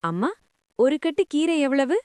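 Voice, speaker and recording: Woman acting out an animated village mother. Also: high-pitched, unhurried, no echo, studio quality, pitched above the performer's own voice. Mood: firm haggling. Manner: insistent, expressive, motherly. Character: brisk, firm, kindly village mother who haggles.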